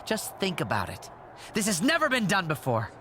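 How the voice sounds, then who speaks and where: A man's voice: high voice, wildly swooping pitch, normal speed, pushed and bright, slight background noise, heavily acted. A man voicing a snide, easily flustered young wrestling show producer in a video game cutscene, smug and snippy.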